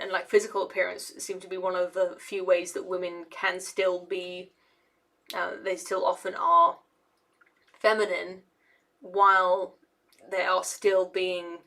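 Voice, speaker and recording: A woman's voice: medium pitch, a bit bright. A young woman, an engineer, giving casual commentary to camera in a hesitant, rambling way with many fillers and restarts. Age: young adult. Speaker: engineer and video essayist.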